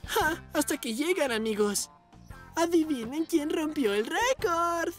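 A woman voicing an eager, easily rattled young boy motocross bike in a children's cartoon, excitable and expressive. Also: high-pitched, unhurried, faint music behind. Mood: proud, excited. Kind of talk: animated children's cartoon dub.

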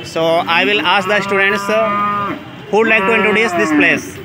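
A man's voice: sits high, normal speed. A man with an Indian English accent leading an outdoor spoken English class, prompting students with short questions. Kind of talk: outdoor teaching walk with students. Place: outdoors at a temple ground. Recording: loud outdoor background noise. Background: street and vehicle noise.

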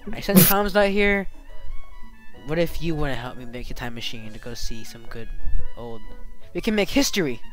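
A performer playing an excitable, scheming animatronic rabbit in an improvised Minecraft roleplay, bantering and exclaiming. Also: medium pitch, moderate pace, faint music behind, lightly acted. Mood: bossy. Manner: exclaiming, bantering, swooping between mutter and yell.

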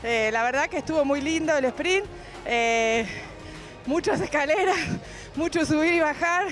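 A woman with a Buenos Aires accent talks cheerfully after a race. Her voice is very high and chatty, and faint music plays underneath. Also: expressive, measured pace, a bit bright. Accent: Buenos Aires Spanish. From Argentina. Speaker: orienteering runner. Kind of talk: post-race interview.

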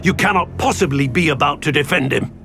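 An actor playing a stern middle-aged uncle in a video game cutscene, grave and scolding. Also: male voice, a little high, brisk, vocal fry, sharp tone, faint music behind. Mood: condemning. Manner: grave, scolding, heavy with anger. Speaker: game character.

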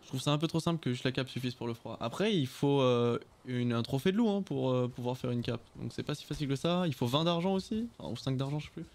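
A young man streaming a game on a headset mic, chatting casually with teammates, relaxed and swearing now and then. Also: medium pitch, fast, hardly any pauses. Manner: relaxed, swears casually, thinks aloud while playing. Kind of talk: casual gameplay chat with teammates. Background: game music.

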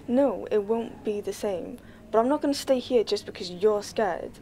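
A woman's voice: medium pitch, moderate pace, mellow, slight background noise, close to an everyday voice. A young woman playing a frustrated grown-up daughter who argues for her independence in radio-play drama. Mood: firm, urging. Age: young adult. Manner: exasperated, argumentative. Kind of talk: low-key dramatic radio-play dialogue.

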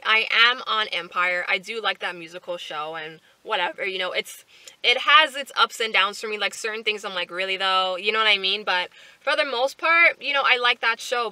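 A woman in her twenties chatting casually to camera from a parked car, animated and full of fillers and asides. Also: mid-range voice, no room sound, low-quality recording. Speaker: vlogger. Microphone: camera on the dashboard.

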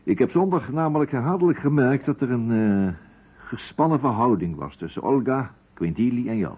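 A shrewd investigator in a vintage radio play. His voice is husky and swings widely in pitch as he questions calmly and pointedly. The recording is dull. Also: normal speed. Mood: insistent, controlled. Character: probing male investigator, calm, insistent, shrewd. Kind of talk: old Dutch radio drama acting.

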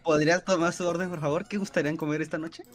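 A man's voice: a little high, fairly even intonation, quick pace. A man takes on a waiter in a game roleplay, speaking in a mock-formal, overly polite way. Faint game music sits in the background. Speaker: player as restaurant waiter.

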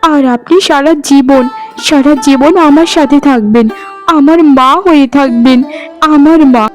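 A woman voices a young village wife in a cartoon drama. The voice is high, earnest and gentle, that of a humble, devoted daughter-in-law, with faint plucked strings behind. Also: lightly acted. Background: plucked-string music.

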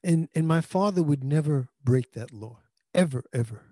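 New pastor preaching into handheld mic. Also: male voice, a little high, animated, normal speed, dark tone, no room sound, clean studio sound. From New York. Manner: earnest conversational preaching with frequent restarts. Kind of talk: sermon. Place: small indoor room. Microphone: handheld microphone.

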